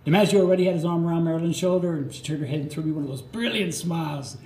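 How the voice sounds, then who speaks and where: An older American man performing a nostalgic autobiographical monologue live, theatrical, with dramatic pauses. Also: a little high, dark tone. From Florida, United States. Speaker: storyteller. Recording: roomy live recording with faint noise.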